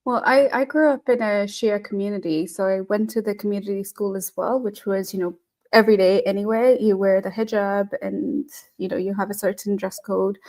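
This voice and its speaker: Woman from tanzania on a video call, chatty, lots of ums, middle pitch. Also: fairly even intonation, normal speed, a little mellow. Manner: chatty, storytelling with many fillers. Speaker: guest. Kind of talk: casual conversation.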